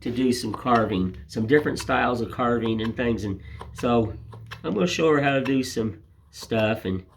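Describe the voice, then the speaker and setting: An older man with a raspy, hoarse voice from a cold, chatting casually and rambling as he hosts his woodcarving channel. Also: mid-range voice, normal speed, a little hiss or hum. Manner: rambling, homespun, folksy filler phrases. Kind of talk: casual chat to camera.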